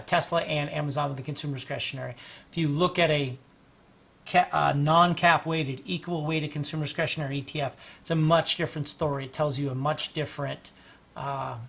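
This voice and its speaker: A man's voice: fairly high pitch, a bit bright. A man hosting a livestream, walking through stock charts in casual, rambling talk while losing his voice. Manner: rambling, restarts, clears throat often. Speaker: host and market analyst.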